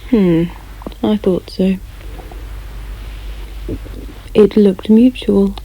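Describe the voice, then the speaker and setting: An actress playing a teasing, flirtatious wife and reporter in a naturalistic radio drama, with a wry, intimate manner. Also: female voice, medium pitch, takes it slowly, mellow, husky, poor audio.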